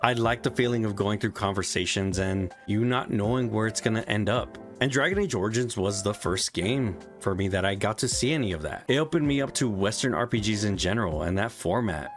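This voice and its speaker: A man's voice: lowish voice, a little fast, hardly any pauses. A young man giving a frank, casual spoken game review, swearing freely, with faint music behind him.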